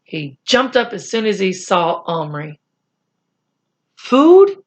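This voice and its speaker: Slow, animated woman reading a children's story. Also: lowish voice. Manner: deliberate, animated. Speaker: read-aloud storyteller. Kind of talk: expressive children's chapter book narration.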